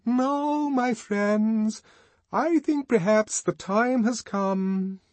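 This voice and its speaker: A man voicing a pompous, solemn male church dignitary with a ringing, sepulchral voice and slow, grave delivery. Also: high-pitched, dry recording, pitched above the performer's own voice. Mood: grand.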